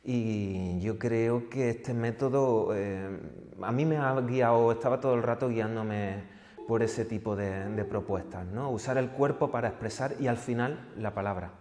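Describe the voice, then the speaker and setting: A man's voice: medium pitch, measured pace, a little mellow, in a large hall. A man, a workshop participant, reflecting on the course with some hesitation. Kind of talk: interview.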